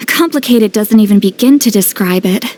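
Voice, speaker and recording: A woman's voice: medium pitch, moderate pace, darkish tone, a little hiss or hum, lightly acted. A woman voicing a grave, knowledgeable shaman in a video game, explaining things solemnly. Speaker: voice actor in a game. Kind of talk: scripted game cutscene acting.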